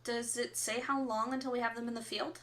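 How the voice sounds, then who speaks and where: A woman playing a composed resistance announcer who relays orders in a measured, formal way on a fictional radio broadcast in an audio drama. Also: fairly high pitch, little pitch movement, a bit bright. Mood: questioning.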